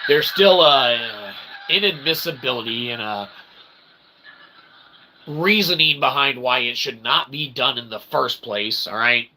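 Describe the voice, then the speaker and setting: A man giving rambling, irreverent opinion commentary in wordy, repetitive, slangy phrasing, often checking in with a quick tag question. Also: mid-range voice, lively intonation, sharp tone, a little hiss or hum, poor audio. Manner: punctuates points with "okay?" and "all right?". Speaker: host of a commentary channel.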